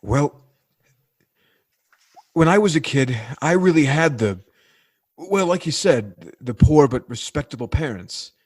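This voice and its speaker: Guy acting a north american working joe in an old radio play, slangy, halting, earnest and bitter at the rich, close dry sound. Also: mid-range voice, a little mellow, no room sound. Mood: reflective, reluctant. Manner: halting, groping for words, slangy American everyman. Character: plain-spoken working-class man, earnest, bitterly indignant at the rich. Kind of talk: 1940s radio drama acting.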